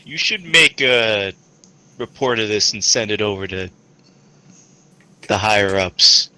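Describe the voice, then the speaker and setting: A man's voice: lowish voice, animated, a little slow, sharp tone. A man playing a tabletop game, chatting casually in a playful, quippy way. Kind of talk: casual joking game chat.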